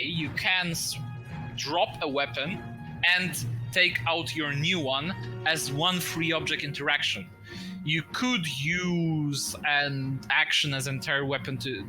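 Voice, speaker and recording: A man narrating combat as game master of a tabletop game, in descriptive but halting phrases. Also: on the high side, poor audio. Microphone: voice-chat microphone. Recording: music behind, online voice call. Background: music.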